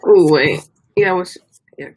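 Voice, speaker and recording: A woman hosting a casual book discussion, speaking thoughtfully and haltingly as she searches for her words. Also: lowish voice, breathy edge. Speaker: book club discussion host.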